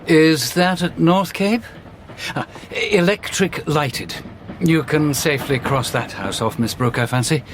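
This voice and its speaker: Man playing higher-pitched, opinionated Victorian police inspector. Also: unhurried, natural voice. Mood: businesslike, assured. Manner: hesitant hums, assertive pronouncements. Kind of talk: period radio drama acting. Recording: clear background noise.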